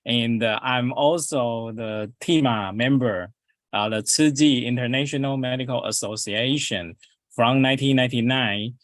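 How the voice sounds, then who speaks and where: Middle-aged taiwanese guy, english not his first language, slow and halting, lots of uhs, dry sound. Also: mid-range voice, a bit bright, no room sound. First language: Chinese. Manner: halting, many fillers and restarts. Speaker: dentist giving a guest talk. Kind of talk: prepared presentation on a video call.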